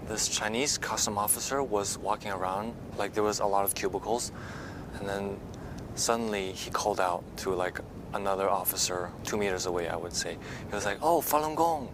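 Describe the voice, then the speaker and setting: Man telling what happened, lowish voice, lots of rumble behind. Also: moderate pace. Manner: hesitant, casual. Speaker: interviewee. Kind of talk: personal account in interview.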